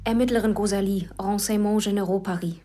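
An actress playing a cool, calculating police investigator who talks tersely, with whispered inner asides. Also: female voice, medium pitch, fairly even intonation, quick pace, music in the background, natural voice. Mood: cool, pressing. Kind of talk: radio play acting.

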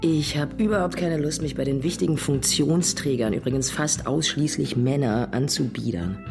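Proud sardonic academic, deep female voice, dry, pointed, radio play, music underneath. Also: moderate pace, creaky. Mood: indignant, sardonic. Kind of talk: naturalistic radio drama.

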